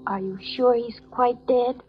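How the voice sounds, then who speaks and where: An actress voicing an eerie, calm, cruel child in old-time radio drama style. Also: female voice, on the high side, unhurried, faint music behind, natural voice. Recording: distant muffled old radio recording.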